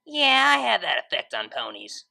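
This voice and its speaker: Woman voicing a brash, creaky-voiced young unicorn DJ. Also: lowish voice, huge pitch swings, moderate pace, sharp tone, full character voice. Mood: smug. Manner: casual, slangy, teasing.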